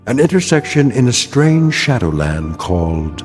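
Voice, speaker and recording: A man narrating a radio drama in a grave, measured, ironic way. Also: mid-range voice, big pitch swings, in a large hall, over loud music.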